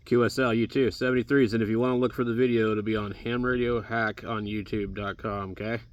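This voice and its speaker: A low-voiced American man speaks in a fairly monotone, informal way as he demonstrates amateur radio. He is outdoors in a backyard, with clear noise around his voice. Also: fairly quick. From Arizona, United States. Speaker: amateur radio operator and video host. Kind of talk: casual demonstration vlog with ham radio contacts. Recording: noisy outdoor recording with radio static.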